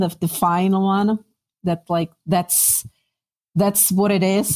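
A woman's voice: on the low side, a little mellow. A middle-aged woman from the Czech Republic with a Czech accent, sharing personal recollections conversationally as an interview guest.

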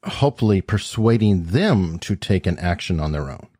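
Male podcast host, low husky voice, upbeat interviewer, dry booth sound. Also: sing-song, normal speed, dark tone, dry recording. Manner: upbeat, enthusiastic questioning. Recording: dry close-mic sound.

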